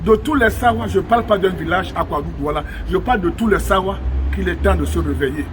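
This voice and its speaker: A man's voice: high voice, fast, noisy background. A man from Cameroon, speaking emphatically to viewers from an outdoor square, with many rhetorical questions. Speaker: vlogger. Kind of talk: impassioned on-location address to viewers.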